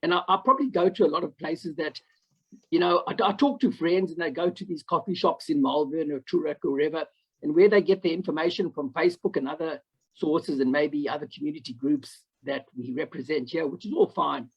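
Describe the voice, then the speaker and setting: Man, South African accent, gravelly, husky, rambling, fillers and restarts, lo-fi video call sound. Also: a little high, fairly quick. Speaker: audience member asking a question. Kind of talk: online Q&A comment. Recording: dull lo-fi video call audio.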